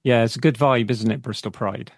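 A male radio host with a gravelly, slightly croaky voice at an ordinary pitch. He speaks earnestly, pausing to find his words. The sound is dry and close. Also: fairly even intonation, dry recording. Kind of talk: radio interview.

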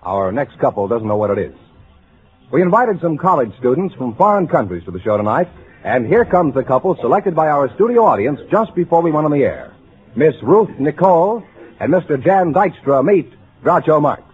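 A man works as a show announcer, delivering a scripted introduction with a very lively, swinging delivery. His voice sits a little high, and the sound is tinny and narrow like an old broadcast. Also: moderate pace.

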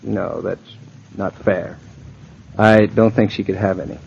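An actor playing a wry young husband with a dry, bantering, affectionate manner, in 1940s radio drama style. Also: male voice, deep voice, steady tone, unhurried, creaky, darkish tone, a little hiss or hum. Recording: dull lo-fi old radio recording.

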